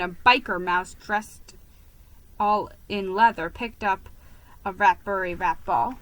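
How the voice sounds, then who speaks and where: Slow, dramatic woman storyteller. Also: mid-range voice, dry recording, poor audio. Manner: expressive, theatrical. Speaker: storybook reader. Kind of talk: dramatic reading of a children's story.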